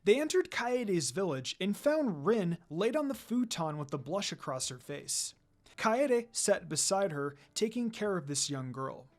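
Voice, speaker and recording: An amateur narrator reading a fan fiction story aloud in an expressive storytelling style. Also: medium pitch, unhurried.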